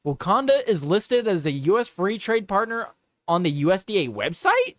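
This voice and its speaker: A man's voice: sits high, wildly swooping pitch, normal speed, a bit bright, no room sound, clean studio sound. A young man hosting a casual live show, chatty and reactive, swearing and repeating himself as he reads headlines. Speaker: livestream host. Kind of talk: casual live news quiz chat.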